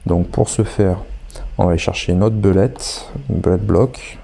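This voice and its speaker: Male instructor with a cold, very dark gravelly voice, rambling tutorial delivery. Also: low-pitched, unhurried. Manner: chatty, hesitant, many fillers. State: has a head cold. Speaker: online course instructor. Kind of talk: screen-recorded tutorial walkthrough.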